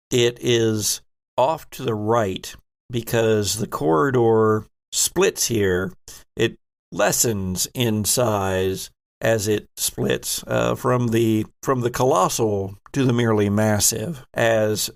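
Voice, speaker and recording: Gravelly man narrating as game master. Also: lowish voice. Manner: suspenseful, second-person scene-setting. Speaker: game master and host of a tabletop horror RPG podcast.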